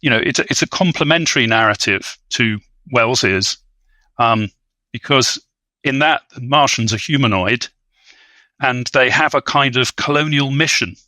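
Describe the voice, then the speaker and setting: A man's voice: medium pitch, normal speed, bright, forceful tone, dry recording. A man speaking as an expert podcast guest in an academic discussion, with hesitant, scholarly, wordy phrasing.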